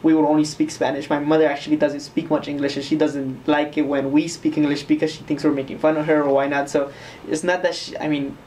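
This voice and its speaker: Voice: medium pitch, little pitch movement, quick pace, hardly any pauses, darkish tone, recorded from a distance, slight background noise, poor audio. A young adult from Mexico, answering interview questions in a reflective, rambling way with many fillers.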